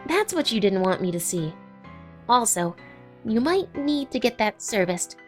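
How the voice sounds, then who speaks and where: An eager young mare from a cartoon , acted by a woman, high-pitched and very expressive. She talks in earnest, wordy bursts and is quick to apologize, with music playing behind her. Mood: confident, earnest. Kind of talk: comic dub cartoon acting.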